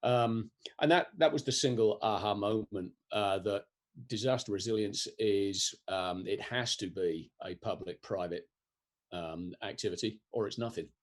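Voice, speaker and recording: A European man answering interview questions in a thoughtful, explanatory way, pausing often with ums and restarts. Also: on the low side. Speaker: guest, disaster resilience expert.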